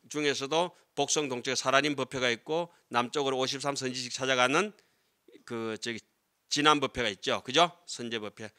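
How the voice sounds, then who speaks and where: A middle-aged man, a Buddhist monk, lecturing on scripture to a class in a hall and speaking into a desk microphone. He is animated and keeps asking the students questions, then answering them himself. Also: a little high, clear, resonant tone. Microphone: desk microphone on stand.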